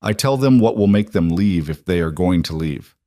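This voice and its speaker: A man voicing a blunt male crime boss in his sixties, gravelly and calm in a plain-spoken, lecturing way. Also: sits low, normal speed, no room sound. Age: 60s.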